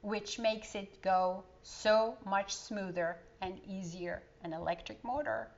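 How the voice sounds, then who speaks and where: A woman's voice: mid-range voice, steady tone, slow pace, muffled recording. A woman voicing a kindly, patient olive mill man in a children's storybook, explaining things in a hearty, instructive way. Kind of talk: children's storybook character reading.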